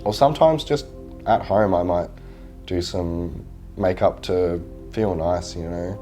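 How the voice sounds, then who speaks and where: A man speaking hesitantly in a documentary interview, with pauses and restarts. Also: low-pitched, dark tone, faint music behind.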